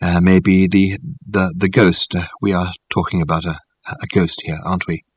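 A man voicing an eccentric, amiable elderly academic in an audiobook, rambling and halting with many hesitant ums. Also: low voice, level tone, breathy edge, no echo. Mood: hesitant, reflective. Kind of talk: audiobook character acting.

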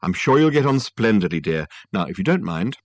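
Male reader as imperious society mother in a novel: brisk, sugary, condescending, unyielding, recorded close and dry. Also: lowish voice, very animated, no echo. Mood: reasonable, unyielding. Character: brisk, sweetly ruthless upper-class mother, magazine owner.

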